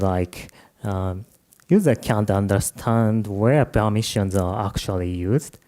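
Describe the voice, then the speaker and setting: Japanese-accented male conference speaker, low mellow tone, jet-lagged, hesitant delivery. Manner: halting, with many uh and um fillers. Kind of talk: tech conference talk. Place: conference room.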